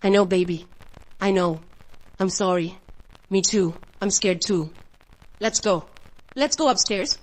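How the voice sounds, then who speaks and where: A woman acts out a protective, anxious mother in a horror radio play. Her medium-pitched voice is soothing, though hesitant and shaken. She speaks to a child she wants to shield. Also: a little slow, long pauses, lightly acted, rough recording. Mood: shaken, tender. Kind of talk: radio drama horror acting.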